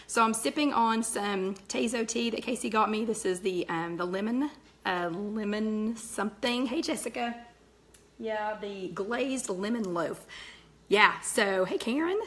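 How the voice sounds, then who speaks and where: A middle-aged woman, her voice raspy and nearly gone, hosting a live chat and greeting viewers by name between thoughts. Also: medium pitch, a little slow, fairly bright tone. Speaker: host of a live weight-loss chat.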